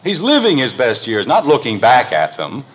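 A man's voice: medium pitch, huge pitch swings, normal speed, fairly bright tone. A man playing an exasperated, protective middle-aged father in a 1950s radio comedy, blustery and emphatic. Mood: indignant. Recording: noisy, crackly old radio recording.

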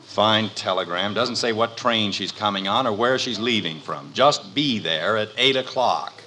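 A man's voice: on the low side, unhurried, bright, forceful tone, slight background noise. A man playing an exasperated, lecturing father in an old-time radio sitcom, with a dry, put-upon manner. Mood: weary, impatient.

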